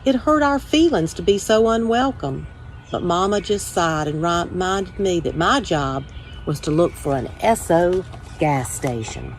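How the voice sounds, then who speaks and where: A woman's voice: lowish voice, expressive, a little slow, a little hiss or hum, low-quality recording. A woman reading a children's storybook aloud as a first-person narration. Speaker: story time reader.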